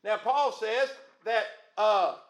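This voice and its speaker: A man preaching a sermon in a booming voice, folksy and calling out to the congregation. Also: takes it slowly, reverberant. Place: church.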